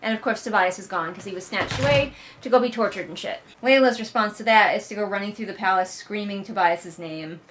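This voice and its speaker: A woman's voice: medium pitch, a little fast, hardly any pauses, music in the background. A woman hosting a read-along, casually recapping and critiquing a story with sarcastic, exasperated commentary and casual swearing. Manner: acts out mocking character quotes. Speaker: host of a book read-along.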